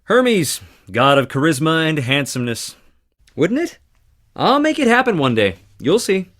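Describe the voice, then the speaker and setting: An actor playing a charming, theatrical theater performer with dramatic flair in a video game. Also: male voice, on the high side, big pitch swings, unhurried, a bit bright. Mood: playful, theatrical. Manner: theatrical, dramatic, swinging between playful and intense. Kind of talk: video game character dialogue.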